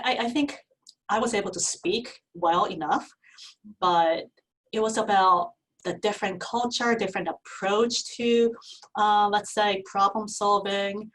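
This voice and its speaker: A woman's voice: mid-range voice, measured pace, a bit bright, recorded from a distance, rough recording. A woman in her forties from Japan with a Japanese accent, a guest in an interview, speaking earnestly with frequent restarts. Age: 40s. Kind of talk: casual interview.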